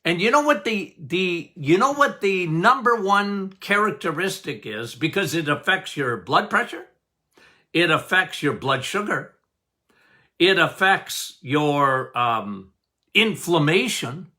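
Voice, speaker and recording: Loud, emphatic older man doctor. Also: high voice, expressive, takes it slowly. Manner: loud, emphatic, passionate, laughs often. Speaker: podcast host, doctor. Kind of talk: casual health podcast talk.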